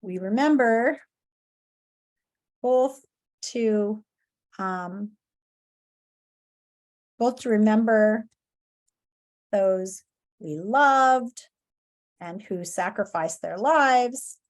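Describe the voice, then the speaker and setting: A woman's voice: medium pitch, extremely slow, long pauses. A woman teacher reading a story aloud and giving lesson instructions to pupils, expressively and directly.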